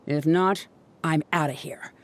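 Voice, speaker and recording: A woman's voice: low-pitched, fairly even intonation, unhurried, a little hiss or hum. An actress playing a proud, impatient young woman, curt and huffy, in an anime video game. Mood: irritated.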